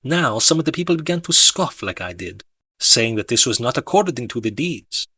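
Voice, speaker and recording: A man narrating an audiobook in a measured, dramatic storytelling manner. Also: medium pitch, big pitch swings, bright, forceful tone, breathy edge, no room sound. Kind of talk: expressive scripted audiobook narration.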